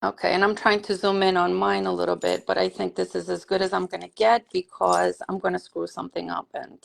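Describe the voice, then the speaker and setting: A woman's voice: fairly low pitch, little pitch movement, brisk, gravelly. A woman from Puerto Rico, a guest crafter on a live stream, chatting casually and apologizing self-deprecatingly.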